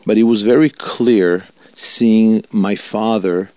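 A man in his fifties whose first language is Italian speaks as a rabbi in an interview, earnest and measured, stretching important words. His voice is low and mellow, recorded dry and close. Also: steady tone, no room sound. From Italy. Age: 50s. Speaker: rabbi, guest. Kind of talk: reflective interview answers.

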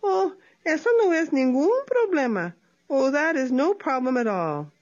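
Woman putting on a sly male opossum, high squeaky pitch, sweet-talking, chirpy, storybook trickster, dull lo-fi sound. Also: sing-song, moderate pace. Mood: sly cheerful. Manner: sweet-talking, chirpy, switches Spanish and English. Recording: dull lo-fi recording.

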